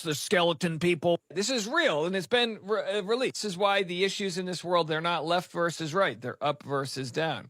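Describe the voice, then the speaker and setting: A man's voice: a little high, sharp tone, no echo, clean studio sound. A man giving news explainer commentary in short, punchy phrases with a dramatic, tongue-in-cheek manner.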